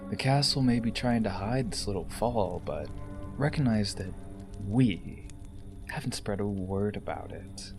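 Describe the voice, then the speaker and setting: A man acting a coldly taunting, possessively fond rebel with hushed, deliberate menace in an audio drama. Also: lowish voice, expressive, gravelly, over music. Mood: persuasive, cold.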